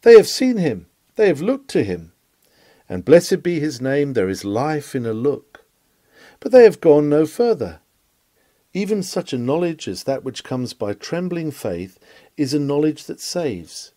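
A man's voice: fairly high pitch, very animated, unhurried, mellow, no room sound. A man reads a scripted sermon aloud in an earnest, emphatic, rhetorical manner. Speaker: sermon reader.

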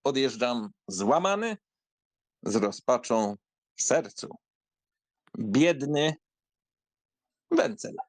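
An actor playing a theatrical, self-pitying young count and rake in an audiobook drama, florid and mock-penitent. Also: male voice, mid-range voice, very animated, very slow, long pauses, no echo, full character voice. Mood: melodramatic, sorrowful. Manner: florid, melodramatic.

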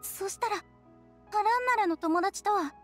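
Frail, wistful young girl for a game drama, high-pitched, earnest and hesitant. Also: a little fast, soft background music. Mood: downcast. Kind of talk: anime-style video game acting.

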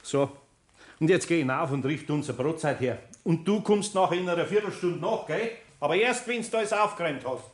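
A man playing a kindly, sly older craftsman in a 1960s radio play, with a Bavarian accent and an unhurried, homely manner. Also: a little high, animated, slightly rough. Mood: teasing. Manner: unhurried, homely, chuckling, gently admonishing.

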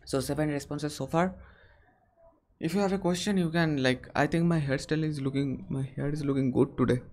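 Earnest young man, halting live Q&A. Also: on the high side, darkish tone. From India. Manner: earnest, halting, frequent restarts. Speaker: English-learning channel host. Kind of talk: live-stream Q&A. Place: small room indoors.

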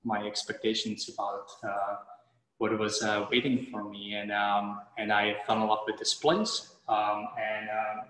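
A man's voice: fairly low pitch, level tone, measured pace, a bit bright. A man in his thirties from the Czech Republic, a homeowner chatting on a live house tour, with many fillers and restarts. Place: large bare room with hard floors, indoors. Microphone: camera a few steps away. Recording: echoey room with long reverb.

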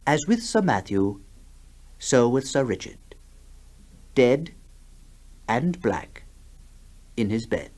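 A man narrating a radio horror story in a deliberate, suspenseful, dramatic style. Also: medium pitch, very animated, darkish tone. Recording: faintly noisy 1960s radio broadcast.

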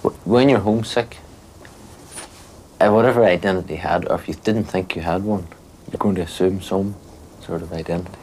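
A man's voice: deep voice, brisk, darkish tone, slight background noise, poor audio. A young man, speaking thoughtfully in a documentary interview. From Northern Ireland. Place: small bedroom.

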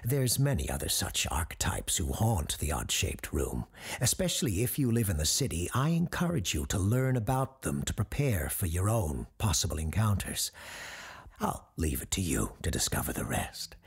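An actor playing an eerie, learned radio host who tells supernatural tales in a measured, ominous way with deliberate pauses, his voice husky and gravelly. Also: deep voice, very animated, full character voice. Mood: measured, mysterious. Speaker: paranormal advice show host. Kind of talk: scripted dramatic storytelling.

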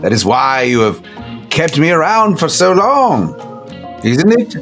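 Man as a sarcastic, slow-drawling vampire courtier. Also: on the high side, very animated, a bit bright, faint music behind, voice pushed up. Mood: sly. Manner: sarcastic, exaggeratedly gallant, drawling. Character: sarcastic, theatrical vampire courtier flattering his prince.

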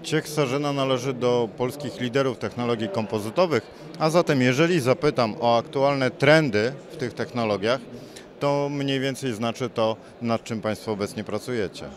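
A man's voice: mid-range voice, moderate pace. A man putting formal, prepared questions as an interviewer in an exhibition hall. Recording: busy trade fair hall crowd noise. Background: crowd hubbub.

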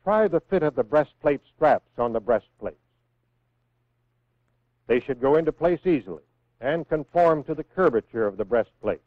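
An American man reading scripted instructions in a measured, clearly enunciated way, as the narrator of a 1960s military training film. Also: fairly high pitch, lively intonation, no room sound. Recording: phone-like vintage 1960s film soundtrack.

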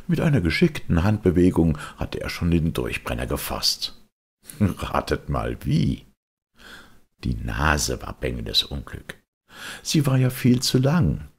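A man's voice: very deep voice, wildly swooping pitch, unhurried, vocal fry, breathy edge. A man narrating a children's audiobook expressively, dramatic and speaking straight to the listener. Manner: dramatic, addresses listeners directly.

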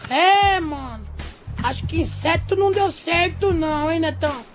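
A woman's voice: very high-pitched, expressive, normal speed. A woman voicing a small, goofy insect rapper sidekick in a dubbed children's cartoon, talking in Brazilian street slang. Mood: doubtful. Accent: Brazilian urban street slang. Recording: echoey, loud music behind.

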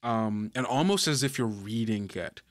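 A man running a tabletop game as game master, improvising suspenseful narration in a halting way. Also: lowish voice, lively intonation.

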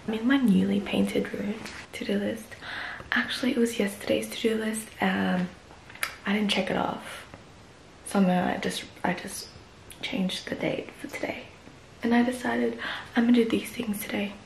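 Young woman vlogger, phone selfie mic, gravelly voice with whispers, roomy echo, soft music behind. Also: mid-range voice, steady tone, normal speed, slight background noise. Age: 20s. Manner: chatty, giggly, often whispering. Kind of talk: casual vlog chat. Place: small bedroom indoors, then outdoors on walks. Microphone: phone held at arm's length.

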